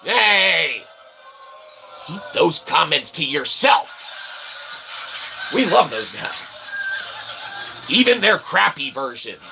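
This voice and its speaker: Noisy, higher-pitched man rambling on livestream. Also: very animated, takes it slowly, long pauses. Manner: refers to himself as "we"; self-deprecating. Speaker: livestream host. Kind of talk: rambling casual livestream chat. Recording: clearly noisy background ambience.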